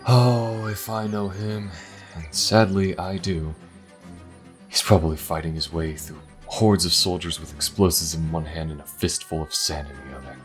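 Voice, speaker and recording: A man's voice: deep voice, normal speed, soft background music, natural voice. A man playing an anxious, exasperated adventurer on the run in an audio drama, flustered and given to muttering. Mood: wry, tense. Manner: flustered, muttering to himself, bursting out in alarm.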